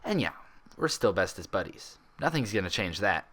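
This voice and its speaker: A man's voice: low-pitched, sing-song, normal speed, a bit bright, exaggerated voice. A man voicing an earnest, anxious young female cartoon pony with an emotional delivery, read from a story. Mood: relieved.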